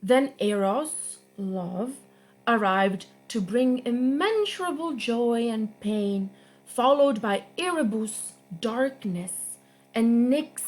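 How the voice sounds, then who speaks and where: A young woman reading a myth aloud for a children's storytime, slowly and expressively. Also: low-quality recording. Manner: slow, expressive read-aloud with lively pitch. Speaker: guest reader.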